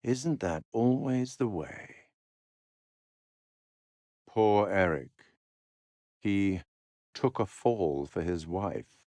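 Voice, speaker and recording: Urbane wry game narrator, the curator type, slow and formal, voice swings up and down a lot, super dry close sound. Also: male voice, low-pitched, expressive, a little mellow, no room sound, exaggerated voice. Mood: dry approval, wry. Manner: measured, formal, wry, with dramatic pauses. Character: urbane, sardonic male curator narrating others' fates. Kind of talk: theatrical scripted game narration.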